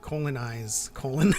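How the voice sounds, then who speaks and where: A man hosting a live stream, chatting casually and reading out comments in a halting, easygoing way. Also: mid-range voice. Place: online group live stream. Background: background music.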